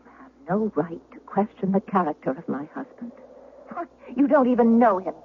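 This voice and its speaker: A woman's voice: medium pitch, lively intonation, moderate pace, narrow, tinny audio, close to an everyday voice. An actress playing an elegant, proper married lady, guarded and melodramatic, in a radio drama. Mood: guarded, agitated. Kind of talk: 1970s radio drama acting. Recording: thin, hissy vintage radio recording.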